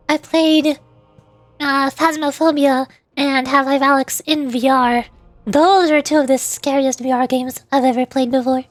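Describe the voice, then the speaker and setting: A woman with a high-pitched voice chats casually to her livestream viewers. She rambles in a halting way, circling back over words. The sound is close and dry, with soft music underneath. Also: no room sound. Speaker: VTuber livestreamer.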